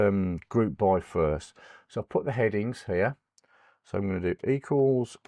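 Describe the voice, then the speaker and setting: A middle-aged man, hoarse from a cold, hosting a screen-recorded spreadsheet tutorial. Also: on the low side, dark tone, dry recording, studio quality. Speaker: host of an Excel tutorial channel.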